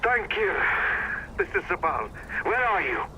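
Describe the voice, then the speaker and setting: An actor playing an urgent, reassuring rebel who gives directions over a two-way radio in a video game. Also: male voice, sits high, sing-song, measured pace, pushed and bright, slight background noise, full character voice, rough recording. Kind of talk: video game cutscene acting.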